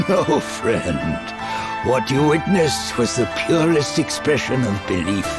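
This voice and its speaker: An actor playing a serene, unctuous archbishop of a dragon faith in a video game, lofty and measured. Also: male voice, medium pitch, big pitch swings. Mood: serene, pious. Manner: lofty, measured, falsely benevolent. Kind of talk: dramatic video game acting. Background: loud music.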